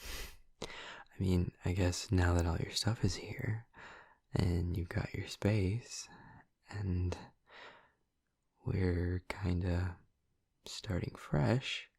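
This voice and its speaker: A man playing a gentle, awkward, affectionate vampire in an intimate audio roleplay, speaking softly and breathily with hesitant, halting tenderness, close to the mic. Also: deep voice, fairly even intonation, dry recording, heavily acted. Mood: awkward, sincere. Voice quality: soft, breathy, husky. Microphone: close, dry microphone.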